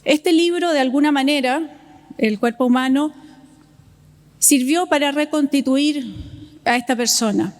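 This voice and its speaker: A woman's voice: sits high, a little slow, pauses often. A woman presenting a speaker at a festival, reading her introduction with frequent fillers and small restarts, recorded in a large hall. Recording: long reverb in a large hall.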